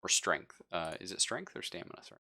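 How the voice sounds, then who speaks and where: A man running a tabletop horror roleplaying game, narrating scenes off the cuff in a casual, halting way. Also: low-pitched, big pitch swings, vocal fry, pushed and bright. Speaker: game master.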